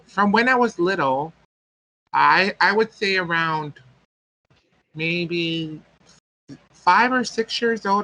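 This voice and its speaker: A man's voice: fairly high pitch, pushed and bright, no room sound, poor audio. A man, telling a personal story as a guest speaker and often searching for words. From American Samoa.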